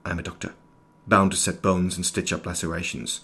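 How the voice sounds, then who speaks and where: A man playing an erudite, formal nineteenth-century doctor in an audio drama, speaking in precise, ornate sentences with a husky voice. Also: deep voice, little pitch movement, brisk, pushed and bright, heavily acted. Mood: grave, introspective. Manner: precise, ornate, old-fashioned diction. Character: erudite, formal 19th-century doctor, inwardly fearful, sharp-eyed. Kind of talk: scripted audio drama, journal narration and dialogue.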